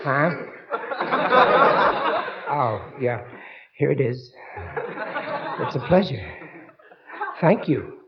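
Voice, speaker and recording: A man's voice: mid-range voice, animated, very slow, long pauses, highs cut off, a loud crowd. An actor playing a dopey, blustering family man in an old-time radio sitcom, on a noisy recording. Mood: meekly polite. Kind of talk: 1940s radio sitcom acting.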